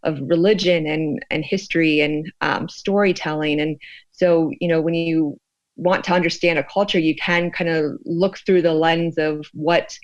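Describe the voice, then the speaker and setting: A woman's voice: sits low, fairly even intonation, moderate pace, dry recording. Slightly dull, dry audio of an American woman. She speaks as a researcher being interviewed, thoughtful and conversational. She often halts and searches for the next word. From United States. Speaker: sociologist and researcher, podcast guest.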